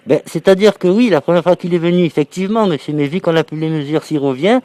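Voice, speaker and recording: A man's voice: fairly high pitch, quick pace, dark tone, dry recording. A man on a phone call posing as a company manager, explaining himself insistently and repetitively. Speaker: prank caller posing as window company manager. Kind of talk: phone conversation, improvised prank.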